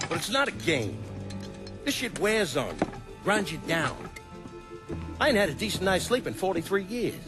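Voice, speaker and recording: Over music, an aging mob patriarch, gruff and bitter. His fairly high voice roams widely in pitch as he lectures, profane and worn down, in a cinematic game style. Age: older. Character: weary, bitter old crime boss and father. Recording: music behind the voice.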